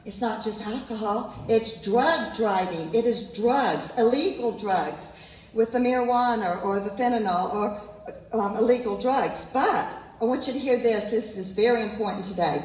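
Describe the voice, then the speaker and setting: Woman speaker, emphatic public talk, slightly high voice, faint room noise. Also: normal speed, echoey room, recorded from a distance, low-quality recording. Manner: earnest, emphatic, repeats points. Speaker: author speaking at a local event. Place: event room, echoey. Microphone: handheld microphone, at times off mic.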